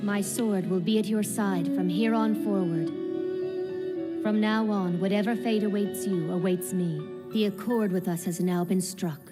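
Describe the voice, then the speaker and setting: A woman's voice: medium pitch, steady tone, moderate pace, close to an everyday voice. Female anime dub lead, acted: young knight spirit servant, stately and steadfast, solemn diction, heavy music bed. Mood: solemn, loyal. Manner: formal, composed, solemn diction. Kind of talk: anime English dub acting. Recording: loud dramatic music behind.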